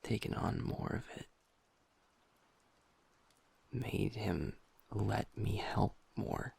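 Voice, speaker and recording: Brief: man playing a young werewolf beta, deep and very gravelly, breathy near-whisper, cursing, hard on himself. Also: drawn-out words, exaggerated voice. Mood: reflective. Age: young adult. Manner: soft, hushed, swearing, self-critical. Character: troubled young werewolf man, pack's second in command. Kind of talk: intimate audio roleplay.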